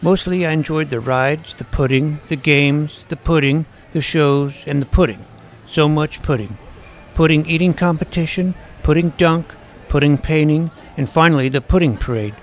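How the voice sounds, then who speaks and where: A man playing an earnest, self-important junior high superhero who narrates his own adventure with mock-dramatic delivery. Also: moderate pace, lightly acted. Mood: gleeful. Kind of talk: scripted first-person story narration.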